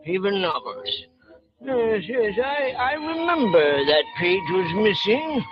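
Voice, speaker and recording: An actor playing a pompous, fussy older gentleman, on an old radio drama recording. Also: high voice. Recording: dull 1940s radio broadcast with music.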